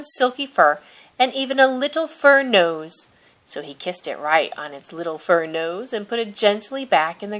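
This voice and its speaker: A woman reading a children's picture book aloud in a gentle, expressive storytelling manner. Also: mid-range voice. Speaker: storybook reader.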